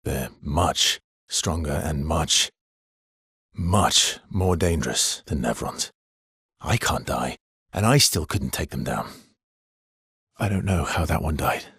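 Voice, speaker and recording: An actor playing a weary, guarded immortal expeditioner in a dramatic video game, with a husky, breathy voice and an understated, hesitant, earnest delivery. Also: male voice, expressive, takes it slowly, a bit bright, dry recording, studio quality, full character voice. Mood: urgent, earnest. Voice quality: husky, breathy, gravelly low voice.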